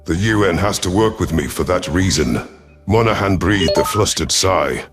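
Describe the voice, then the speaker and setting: A man voicing a cold, calculating alien predator commander in a sci-fi audio story, imperious and menacing, with a rough husky voice. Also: low voice, animated, moderate pace, music in the background. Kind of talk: dramatic first-person sci-fi audio story.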